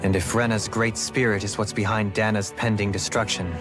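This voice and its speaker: A man playing a composed, scholarly gentleman companion in a fantasy video game, formal and articulate. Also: on the low side, level tone, a little fast, music in the background, close to an everyday voice. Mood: analytical, grave. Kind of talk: scripted video game cutscene acting.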